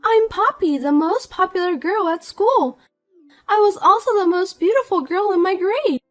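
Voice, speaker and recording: A woman's voice: extremely high pitch, expressive, normal speed, fairly bright tone, soft background music, pitched above the performer's own voice. A woman voicing a vain, spiteful young woman who mocks and pushes others around. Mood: taunting. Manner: mocking, pushy, self-important.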